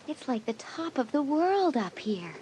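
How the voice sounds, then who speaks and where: A woman's voice: high-pitched, big pitch swings, unhurried, dark tone. An actress playing an anxious, wistful and sensitive teenage girl in a dramatised audiobook. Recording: audiobook tape with faint noise.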